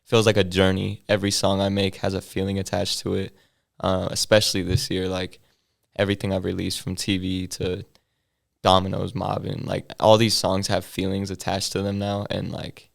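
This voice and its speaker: A young man, a hip hop artist, talking casually in an interview with a deep, bassy voice that he feels comes out mumbled, in laid-back slang full of fillers. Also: completely flat delivery, normal speed, creaky.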